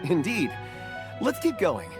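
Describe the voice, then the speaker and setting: A woman voicing a calm, reflective young woman adventurer in a video game. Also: fairly low pitch, slow pace, exaggerated voice. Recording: game audio with music. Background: game music.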